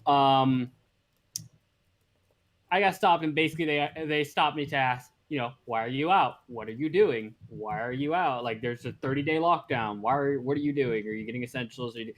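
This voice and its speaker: A man's voice: mid-range voice, fairly bright tone. Man telling a story on a podcast. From American South. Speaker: podcast co-host. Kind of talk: casual storytelling.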